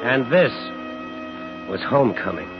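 An actor playing a determined, angry war veteran in a 1950s radio thriller, terse and insistent. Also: male voice, mid-range voice, very animated, unhurried. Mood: brooding narration. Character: determined, angry young war veteran hunting his brother-in-law's killer. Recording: dull 1950s radio broadcast with music.

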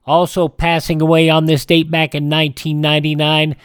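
A man hosting a radio show, reading out a list of dates and names in a halting, deliberate way. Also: a little high, completely flat delivery, smooth, dry recording, studio quality. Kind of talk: radio almanac narration.

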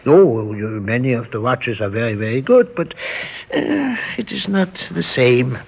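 An actor playing a kindly, talkative old European immigrant watchmaker with a European accent and courteous, halting foreign phrasing, in 1960s radio drama style. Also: male voice, medium pitch, animated, creaky, slightly rough. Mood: warm, chatty. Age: older. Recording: dull, noisy 1960s radio recording.

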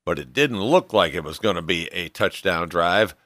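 A man hosts a sports recap show in scripted narration. His voice sits a little low and rings bright and forceful, recorded close and dry as he walks through the game. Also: lively intonation, normal speed, no room sound.

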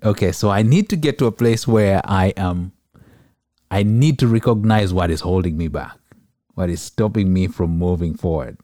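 Deep, mellow male podcast host. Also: normal speed, dry recording, clean studio sound. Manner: thoughtful, recaps what the guest says. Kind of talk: interview questions.

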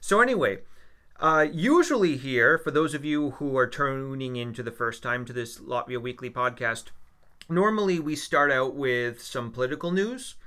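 Guy cohosting a podcast, bright forceful tone, rambly chatty talk with lots of ums. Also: medium pitch, lively intonation, moderate pace, clean tone. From United States. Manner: chatty, rambling with fillers and restarts. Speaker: podcast co-host, history teacher. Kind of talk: casual podcast chat.

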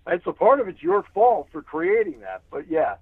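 A man's voice: high-pitched, wildly swooping pitch, moderate pace, husky, dry recording. A man who works as a sports announcer, giving conversational analysis as a guest in an emphatic way, repeating phrases for emphasis. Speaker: radio play-by-play sports announcer, interview guest. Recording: tinny narrow phone-like line.

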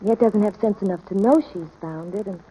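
A woman playing a patient, gently reasoning ranch mother in a 1940s radio drama, thoughtful and measured. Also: mid-range voice, slight background noise, natural voice, poor audio. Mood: gentle, thoughtful. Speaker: actor in radio play.

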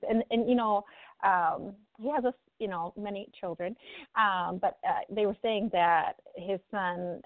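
A woman's voice: lowish voice, no echo. A woman co-hosting a radio talk show, enthusiastic and agreeable in casual chat. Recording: tinny phone-line sound.

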